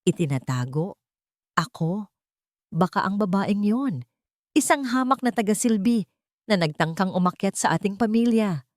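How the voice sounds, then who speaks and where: A woman's voice: medium pitch, animated, moderate pace, pauses often, no echo, studio quality, natural voice. A woman voicing a cruel, scornful rich matriarch with a haughty, hateful manner in a radio drama. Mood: scornful, dismissive. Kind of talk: Tagalog radio drama acting.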